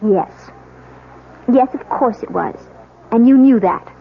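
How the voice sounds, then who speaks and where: An actress playing an anxious, disbelieving newlywed wife in a radio drama, answering in an indignant, challenging manner. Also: female voice, on the high side, takes it slowly, gravelly. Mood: defensive. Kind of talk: 1970s radio drama acting. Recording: tinny, noisy old radio drama recording.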